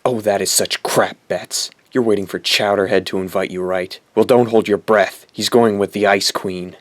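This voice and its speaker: A man playing a scornful, spurned, cocky rich high school boy in an audio drama, sneering as he talks. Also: steady tone, normal speed, dry recording, lightly acted.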